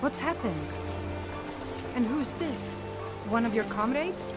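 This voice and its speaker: An actress playing a caring, worried village sister in a fantasy video game, speaking with concern. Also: female voice, fairly high pitch, animated, slow pace. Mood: worried, relieved. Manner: concerned, questioning. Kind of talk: video game dialogue acting. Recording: game audio under loud music.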